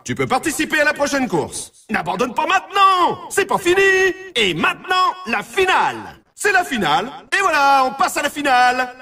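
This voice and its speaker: A man voicing an excitable, brash announcer for an arcade snowboard racing video game, with punchy, showy, emphatic delivery. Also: very high voice, husky, distant mic, exaggerated voice. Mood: upbeat, urging.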